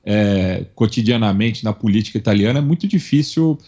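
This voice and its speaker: A man from São Paulo with a Paulistano accent, talking in a halting way full of fillers as a podcast co-host in a casual panel chat. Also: fairly low pitch, lively intonation, mellow, husky, dull audio. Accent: Paulistano Brazilian Portuguese. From São Paulo, Brazil. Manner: halting, many fillers and restarts.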